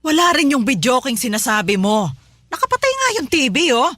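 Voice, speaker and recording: A woman's voice: sits high, wildly swooping pitch, a little fast, fairly bright tone, no echo, clean studio sound, faint music behind, full character voice. A woman playing a worried, firm, pleading Filipino wife and mother in a radio drama, coaxing and insistent.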